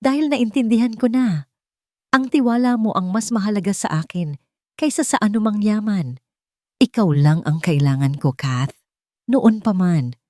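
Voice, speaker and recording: Babaeng gumaganap na tahimik na nagsisising binata. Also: female voice, mid-range voice, moderate pace, a little mellow, no room sound, clean studio sound, pitched above the performer's own voice, natural voice. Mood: tender. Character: remorseful, quiet young man, the love interest.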